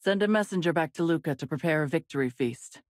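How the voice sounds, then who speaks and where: A woman's voice: deep voice, animated, fast talker, dry recording, clean studio sound. A woman voicing a stoic, commanding young pirate captain in a video game, restrained and authoritative. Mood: calm, commanding.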